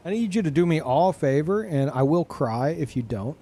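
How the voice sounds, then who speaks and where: A man's voice: on the high side, lively intonation, fairly quick, warm tone. A man in his thirties casually chatting and swearing as he reads out chat messages while streaming a game.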